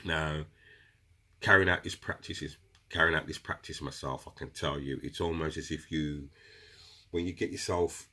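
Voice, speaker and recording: A man's voice: rumbling low, steady tone, normal speed, bright, forceful tone. A man with a cold and a runny nose, chatting to viewers as he explains a meditation practice in a casual teaching style. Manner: chatty, addresses viewers as guys. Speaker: crystal seller explaining meditation. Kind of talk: casual instructional video talk.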